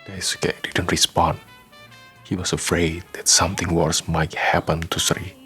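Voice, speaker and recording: A man reading scripted narration for a radio drama, with soft guitar music behind him. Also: low voice, level tone, measured pace.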